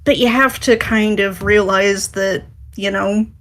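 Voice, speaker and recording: A woman's voice: medium pitch, fairly even intonation, measured pace, a bit bright, slight background noise. A woman speaking as a writer on a discussion panel, giving frank and earnest, emphatic advice in a conversational way.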